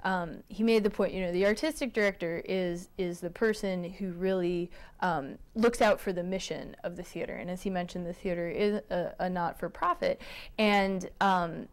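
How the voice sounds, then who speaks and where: Woman with a lowish voice, explaining thoughtfully. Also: steady tone, normal speed. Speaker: artistic director of a puppet theater, interview guest. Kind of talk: conversational interview answers.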